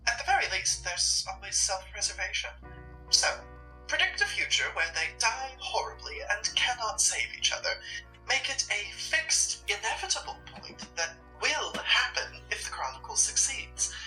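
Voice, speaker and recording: A woman playing a cold, scheming supernatural curator, haughty, condescending and deliberate in a sardonic, theatrical way. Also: mid-range voice, very animated, sharp tone, recorded from a distance, soft background music, poor audio. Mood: smug, calculating. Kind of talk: dramatic roleplay acting.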